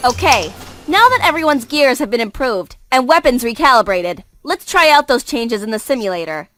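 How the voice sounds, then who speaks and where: A woman playing a bossy, short-tempered young female mech pilot and team leader in an anime-style game, brisk and commanding. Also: a little high, big pitch swings, a bit bright. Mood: brisk, businesslike. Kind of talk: anime-style visual novel voice acting. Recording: music behind the voice.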